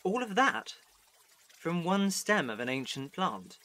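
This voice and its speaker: Man playing a stern, bright-voiced professor. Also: high voice, very animated, takes it slowly, heavily acted. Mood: astonished. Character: stern, perfectionist male professor of botany. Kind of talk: fan audio drama acting.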